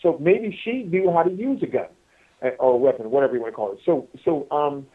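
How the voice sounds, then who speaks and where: A man telling stories in a casual, rambling way, halting and repeating himself as he addresses his listeners over a telephone. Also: on the high side, expressive, recorded from a distance. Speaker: podcast guest calling from prison. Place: prison phone call. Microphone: telephone handset. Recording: tinny, band-limited prison phone line.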